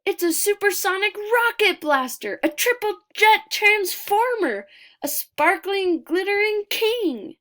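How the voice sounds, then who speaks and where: A woman reading a children's picture book aloud expressively, deliberate in her delivery, with dramatic pauses and emphasis. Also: very high-pitched, lively intonation, fairly bright tone.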